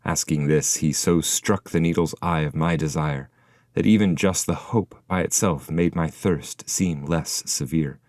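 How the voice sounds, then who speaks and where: A man narrates classic verse from a script in a low voice. The sound is dry, with very little room around it. He reads as a literary narrator. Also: fairly even intonation, measured pace, darkish tone, no echo. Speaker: translator and narrator reading poetry.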